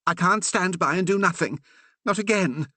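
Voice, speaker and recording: A man's voice: high voice, a little fast, pushed and bright, dry recording, voice pushed up. A man voicing an earnest, anxious young curate who blurts and pleads. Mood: anguished.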